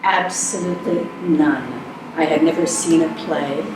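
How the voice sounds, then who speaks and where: An older woman born in Scotland, talking about her life in a relaxed, thoughtful way, pausing often as she answers. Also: sits low. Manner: relaxed, thoughtful, with frequent hesitations. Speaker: interview guest, actress. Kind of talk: casual conversational interview. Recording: echoey room, distant mic, faint noise.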